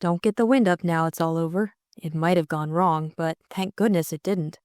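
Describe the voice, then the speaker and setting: A woman voicing a brisk, unflappable young aristocratic sleuth, breezy and bossy, in an audiobook mystery. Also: lowish voice, darkish tone, dry recording, studio quality, natural voice. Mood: brisk, dismissive.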